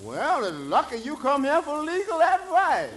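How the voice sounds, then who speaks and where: An actor playing an inept, self-important shady lawyer in an old radio comedy. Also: male voice, extremely high pitch, wildly swooping pitch, moderate pace, a bit bright, heavily acted. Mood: smug. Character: inept, slow-witted shady lawyer, pompously sure of himself. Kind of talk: 1950s radio sitcom acting. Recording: noisy old radio recording, studio audience. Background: audience noise.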